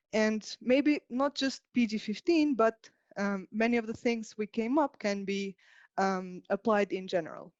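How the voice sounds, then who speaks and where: A woman from Albania giving a prepared technical presentation as a software engineer. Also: mid-range voice, no room sound, lo-fi sound.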